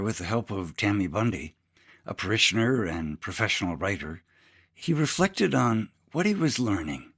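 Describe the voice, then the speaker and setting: A man's voice: lowish voice, fairly bright tone, slightly rough. A man, a priest, reading a scripted audiobook narration.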